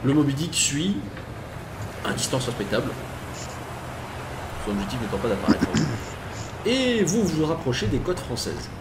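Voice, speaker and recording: A man's voice: fairly high pitch, very animated, moderate pace, long pauses. A man narrating as game master of a tabletop game, hesitant, with many fillers and restarts. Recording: echoey room with clear noise.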